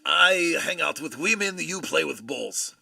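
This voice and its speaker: A man doing a boastful nerd with a thick mock Bulgarian-Russian accent, who speaks in slow, clipped, broken English. The voice is gravelly and sharply bright. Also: fairly high pitch, lively intonation, heavily acted. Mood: smug.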